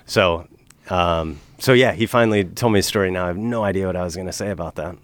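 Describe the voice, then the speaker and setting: A man's voice: sits low, fast, no room sound. A middle-aged man in casual podcast talk, earnest and emphatic, pressing his points with conviction.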